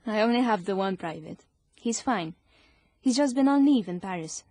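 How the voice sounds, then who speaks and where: A woman's voice: mid-range voice, expressive, moderate pace, darkish tone, no echo. A woman playing a prim, caring young British army nurse in a period radio drama. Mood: chatty, prim.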